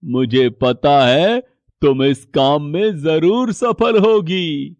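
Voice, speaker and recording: A man's voice: a little high, very slow, darkish tone, no echo, lo-fi sound. Namdev, a man voicing a weary old fisherman. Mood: encouraging. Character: aging poor male fisherman, weary and gentle. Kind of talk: cartoon story voice acting.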